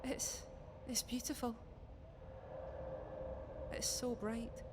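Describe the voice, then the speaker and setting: A woman's voice: a little high, little pitch movement, extremely slow, in a large hall, background noise. An actress playing a brave, steadfast woman of the resistance in an audio drama, earnest and reassuring. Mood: excited wonder. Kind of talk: fiction podcast audio drama acting.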